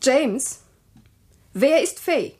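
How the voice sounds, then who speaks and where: A woman's voice: high voice, expressive, drawn-out words, very noisy, full character voice. An actress playing a wife who has found out her husband's secret, speaking coolly, with pointed irony. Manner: cool, ironic, pointed. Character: suspicious wife, cool and cutting. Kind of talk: radio play acting.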